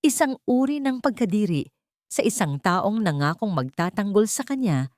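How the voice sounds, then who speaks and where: Expressive, low-pitched Filipino woman storyteller. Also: no room sound, clean studio sound. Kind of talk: dramatic scripted story narration.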